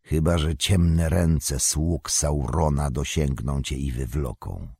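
A man's voice: extremely low pitch, slow pace, vocal fry, a little mellow, slightly rough, no echo, clean studio sound, full character voice. A man voicing a stern, commanding old male wizard with an authoritative manner. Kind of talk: audiobook character reading.

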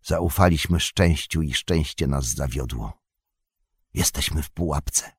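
A man voicing a weary, hunted hobbit in a hushed, defeated manner for a storybook reading. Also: very deep voice, unhurried, slightly rough, dry recording, studio quality, pitched below the performer's own voice, exaggerated voice. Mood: despairing. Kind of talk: audiobook character voice.

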